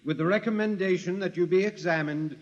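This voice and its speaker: A stern judge. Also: male voice, sits high, fairly quick. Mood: solemn. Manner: formal, solemn pronouncement. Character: stern judge reading a verdict. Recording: dull radio recording, courtroom reverb.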